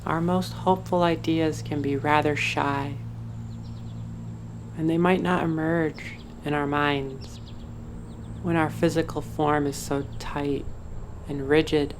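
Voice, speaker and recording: A woman narrating a guided meditation in a soothing, deliberate, instructive way, as the guide. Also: low-pitched, fairly even intonation, background noise.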